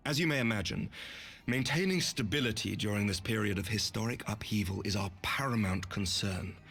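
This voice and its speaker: An actor playing a courteous noble lord commander in a video game, speaking formally and earnestly in measured phrases. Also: male voice, lowish voice, vocal fry, fairly bright tone. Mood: solemn, stately. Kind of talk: video game cutscene acting.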